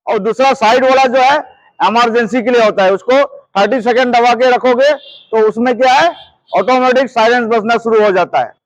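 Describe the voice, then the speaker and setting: A man speaks in a strikingly high voice, recorded quite dry. He teaches like a practical trainer, repeating himself and regularly checking that everyone follows. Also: normal speed, no room sound, muffled recording. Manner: repetitive, checks understanding often. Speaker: security guard trainer. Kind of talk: hands-on instructional lesson.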